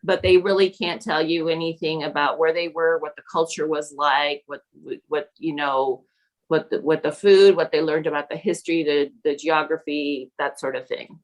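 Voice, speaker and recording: A woman who grew up in Peru, a teacher and mentor, talking in a podcast interview in a halting way, with many fillers and restarts. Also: low voice, steady tone, poor audio. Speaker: National Board certified teacher and mentor.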